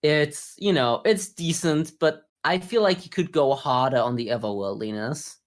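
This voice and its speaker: A man co-hosting a podcast, talking through his views in a measured, explanatory way in a casual review discussion. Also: a little high. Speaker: podcast co-host.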